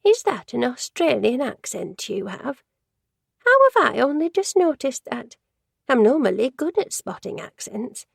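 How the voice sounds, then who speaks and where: A woman's voice: high-pitched, sing-song, unhurried, vocal fry, breathy edge, no room sound, clean studio sound, voice pushed up, exaggerated voice. A woman voicing a gossipy, judgmental older woman who works in an office, rambling and easily sidetracked in a storybook reading. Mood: excited.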